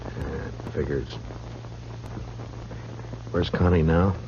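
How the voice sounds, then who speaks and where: A man's voice: very deep voice, steady tone, extremely slow, exaggerated voice. An American man acting a laconic, probing frontier marshal in a 1950s radio western, with terse, dry delivery. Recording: dull, noisy old radio recording.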